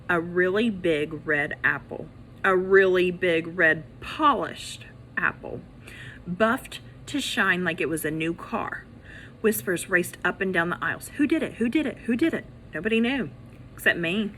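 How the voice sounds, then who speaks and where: A woman reading a children's story aloud as a teacher, in an expressive, animated way that acts out the characters. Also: mid-range voice, slight background noise, low-quality recording.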